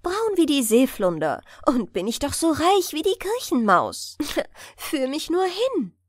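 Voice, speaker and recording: A woman's voice: high voice, wildly swooping pitch, measured pace, no echo, clean studio sound, voice pushed up, exaggerated voice. A woman voicing a poor, cheerful young fisher girl in a storybook, playful and joking. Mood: amused, joking. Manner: laughing, playful. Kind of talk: storybook character voice.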